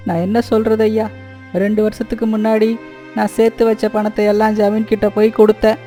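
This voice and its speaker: Poor honest labourer for a cartoon fable, male actor: soft dark tone, flat melody, deferential, pleading. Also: sits high, a little fast, soft background music, lightly acted. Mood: sorrowful, humble. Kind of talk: Tamil cartoon moral story acting.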